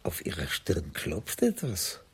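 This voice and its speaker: A man's voice: sits low, wildly swooping pitch, slow, husky, exaggerated voice. A male actor in a radio crime drama playing an unflappable, impish police commissaris in his sixties with a dry, teasing manner. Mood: needling. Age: 60s.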